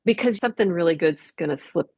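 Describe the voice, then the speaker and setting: A woman's voice: lowish voice, animated, fast talker, a little mellow, dry recording. A woman speaking as an interview guest and theatre director, explaining things thoughtfully in a conversational way.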